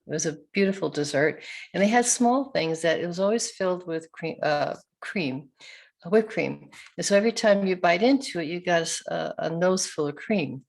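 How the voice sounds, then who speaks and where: Older woman, from New York, lowish voice, chatty, self-deprecating, casual video call. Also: normal speed, a little mellow. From New York, United States. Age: older, around 70s. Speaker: author, interview guest. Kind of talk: casual chat. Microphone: video call microphone.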